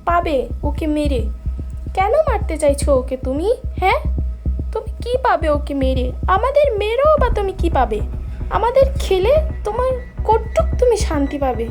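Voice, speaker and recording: A woman voicing a small brave bird in a cartoon story, earnest, insistent and pleading. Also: very high-pitched. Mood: defiant. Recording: music under the voice. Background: music.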